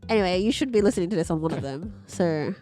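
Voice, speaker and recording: A woman's voice: fairly low pitch, lively intonation, fast talker, mellow, faint music behind. A woman in her early thirties co-hosting a podcast with casual, friendly banter. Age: early 30s. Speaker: podcast co-host.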